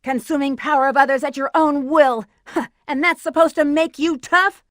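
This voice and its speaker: A woman's voice: sits high, steady tone, moderate pace, a bit bright, no echo, studio quality. An actress voicing a sassy, confident female bat treasure hunter in a video game. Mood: scornful.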